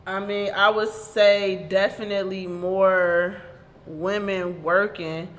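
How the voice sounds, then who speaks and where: A woman's voice: mid-range voice, level tone, takes it slowly, fairly bright tone. A woman co-hosting a podcast, chatting casually in a loose, easygoing way. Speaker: podcast host. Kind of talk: casual chat. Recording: faint background noise.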